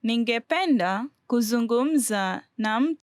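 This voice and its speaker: Lady saying drill phrases slow and careful, bit high voice, dry sound. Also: expressive, no room sound. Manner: careful, deliberate enunciation. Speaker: native-speaker phrase demonstrator. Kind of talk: scripted language drill.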